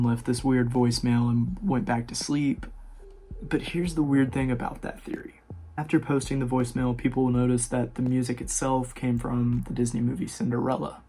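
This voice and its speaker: Guy doing casual scary story narration for his channel, average pitch, talks right to viewers. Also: mellow. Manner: informal, addresses viewers directly. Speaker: horror story YouTuber, host.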